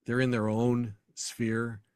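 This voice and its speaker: A man's voice: medium pitch, completely flat delivery, takes it slowly, darkish tone, clean tone, dry recording, studio quality. A man speaking as a podcast guest, an arena announcer telling anecdotes in a casual interview.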